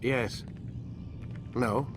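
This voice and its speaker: An actor playing a stern, impatient doctor in charge in a video game, speaking curtly in clipped phrases. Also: male voice, medium pitch, fairly even intonation, very slow, background noise. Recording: music behind the voice.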